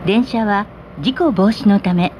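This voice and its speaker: A woman reading scripted announcements and adverts over a train PA, polite and clearly articulated. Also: medium pitch, unhurried, a little mellow. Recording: train PA with vehicle noise.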